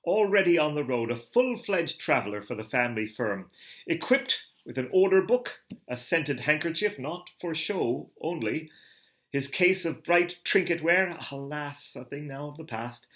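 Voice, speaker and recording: A man, a theater director, reading a classic novel aloud with an expressive, theatrical delivery. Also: on the high side, normal speed. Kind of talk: dramatic literary reading.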